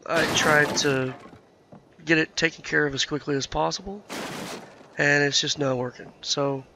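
A man's voice: a little high, steady tone, normal speed, creaky, pushed and bright. A man commentating his own gameplay, thinking aloud with lots of fillers, his voice nasal and scratchy from being sick. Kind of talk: casual let's-play commentary.

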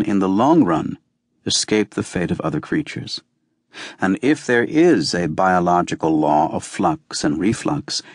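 A man reading a novel as an audiobook narrator, with measured, deliberate phrasing. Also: lowish voice, dry recording, studio quality. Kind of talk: scripted literary narration.

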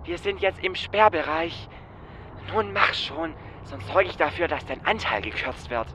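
A man's voice: on the high side, animated, unhurried. A man playing a curt, impatient, commanding heist crew leader in an audio drama. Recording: slight background noise.

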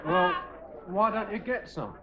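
A man in his thirties playing a cheeky, laddish husband who teases his friend with deadpan quips. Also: high voice, measured pace, over a noisy crowd. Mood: teasing. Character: cheeky laddish young husband, dry joker. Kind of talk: 1980s sitcom acting. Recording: dull lo-fi TV audio with hiss.

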